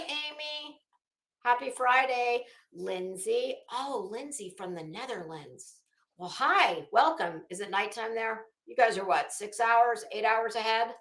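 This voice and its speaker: A woman's voice: on the high side, bright, forceful tone. A woman hosting a livestream in casual chat and commentary, with a blunt, sarcastic, salty and emphatic way of talking. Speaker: livestream host. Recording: roomy, echoing home recording.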